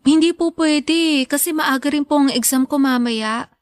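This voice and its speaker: A woman's voice: sits high, normal speed, no echo, natural voice. A woman playing a hardworking, devoted student daughter in a Filipino radio drama, polite, respectful and earnest with her mother.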